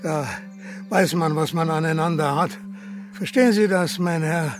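An actor playing an effusively polite, chatty elderly tourist in a radio play. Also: male voice, on the high side, moderate pace, over music, natural voice. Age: older. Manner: ceremonious, chatty, courteous.

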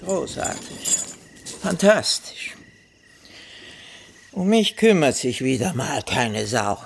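A woman's voice: rumbling low, big pitch swings, takes it slowly, gravelly, noisy background, full character voice. An actress playing a sarcastic, self-pitying woman in a radio drama. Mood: sulky. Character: sardonic, self-pitying woman, teasing and neglected.

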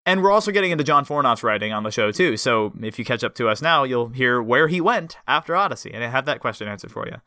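A young man hosting a casual podcast, chatty and enthusiastic with a rambling flow. His voice is bright and crisp. The sound is dry and close. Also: medium pitch, lively intonation, fast, few pauses, no room sound. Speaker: podcast host.

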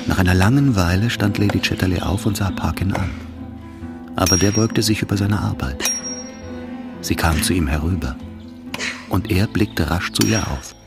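Very deep male literary narrator. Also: normal speed, vocal fry, pauses often. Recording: studio narration over loud music. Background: music.

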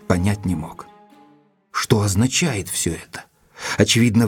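An actor playing an observant, loyal gentleman who reports a mystery in a measured, formal, deliberate way, as in an audio drama. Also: on the low side, husky, no echo. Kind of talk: scripted audio drama narration, reading out a letter. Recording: studio recording with music underneath.

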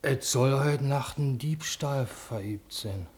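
A man's voice: mid-range voice, takes it slowly, a little mellow, lightly acted. Man playing a flustered, servile office servant. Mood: plain. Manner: stammering, servile. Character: humble, slow-witted, flustered male office servant. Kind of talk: German classic radio play acting.

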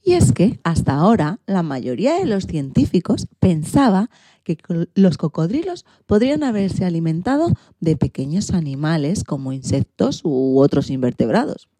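A woman's voice: fairly low pitch, sing-song, moderate pace, mellow, no echo. A woman hosting and reading scripted narration for a children's radio programme.

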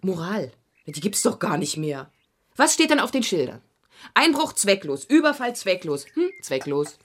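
Husky fed-up journalist girlfriend, blunt n sarcastic, natural radio play talk. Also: mid-range voice, very animated, normal speed. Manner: blunt, sarcastic, matter-of-fact. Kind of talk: naturalistic radio drama dialogue.

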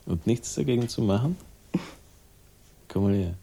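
An actor playing a wry, insistent man in a late-sixties radio crime drama, husky and teasing as he questions his lover. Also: on the low side, lively intonation, normal speed, warm tone, exaggerated voice. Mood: needling.

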